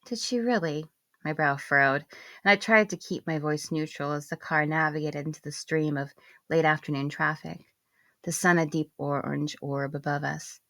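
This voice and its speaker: A woman reading scripted first-person literary narration in a measured, expressive way, with phrases falling away softly. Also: low voice, no echo. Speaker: audiobook narrator and author.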